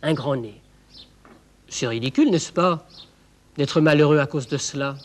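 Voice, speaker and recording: Man as a high-pitched, playful storyteller. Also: a little slow, close to an everyday voice. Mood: playful, confiding. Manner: playful, rhetorical questions and repetitions. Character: chatty, playful male storyteller addressing children. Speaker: narrator. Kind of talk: children's storytelling.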